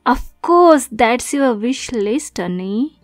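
A woman acts out a young yandere kidnapper in love, sweet on the surface and possessive underneath. Her high voice teases and coos in a cutesy, extremely slow roleplay ASMR delivery. It is recorded dry, with faint music behind it. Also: expressive, no room sound. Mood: playful, possessive. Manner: slow, cutesy, teasing, breathy asides.

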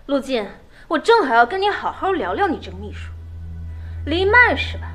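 A woman's voice: sits high, big pitch swings, normal speed, a bit bright, soft background music. An actress playing a jealous young girlfriend who confronts her partner in an indignant, accusing manner.

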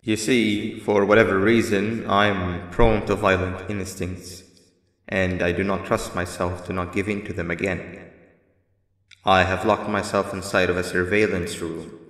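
A man voicing a wary male creature who guides the player in a video game, with a calm, measured delivery. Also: sits low. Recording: echoey reverberant game audio.

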